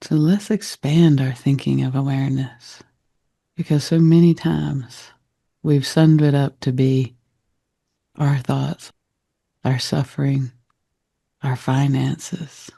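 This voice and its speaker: A man guiding a meditation in a gentle, reflective manner, with deliberate short phrases and a soft, husky, gravelly voice. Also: medium pitch, flat delivery, no echo. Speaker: meditation guide. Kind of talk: guided meditation talk.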